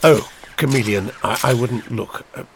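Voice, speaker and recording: An actor playing an inquisitive, humane time traveller in an audio drama, expressive and thoughtful, full of questions. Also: male voice, medium pitch, normal speed, background noise, natural voice. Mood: probing, concerned.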